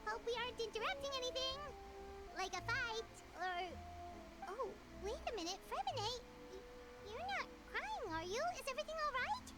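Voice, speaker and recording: A woman voicing a tiny floating fairy companion, chatty and nosy, in a high childlike voice for a video game. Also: sing-song, moderate pace. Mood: nosy, cheerful. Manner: chatty, exclaiming, meddling. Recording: game audio with music behind.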